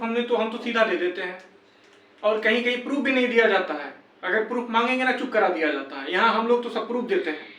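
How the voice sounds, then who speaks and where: Young male campaigner, high voice, urging peers insistently with a reproachful edge; recorded echoey and off-mic. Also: a bit bright. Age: young adult. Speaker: exam candidate and campaign organiser. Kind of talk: motivational appeal to fellow candidates. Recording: echoey room, distant microphone.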